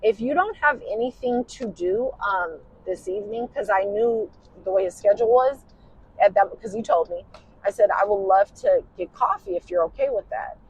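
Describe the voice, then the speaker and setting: A tired woman with a husky, smoky voice tells a personal story in casual chat while driving in a car at night. She rambles and uses lots of "you know" fillers. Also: medium pitch, expressive, normal speed, a little mellow, a little hiss or hum, poor audio. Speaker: podcast host.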